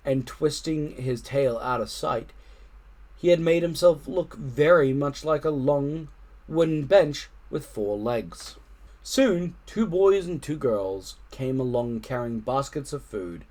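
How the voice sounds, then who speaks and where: A man reading a children's story aloud expressively, with animated, dramatic emphasis. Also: medium pitch. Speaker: storytime reader.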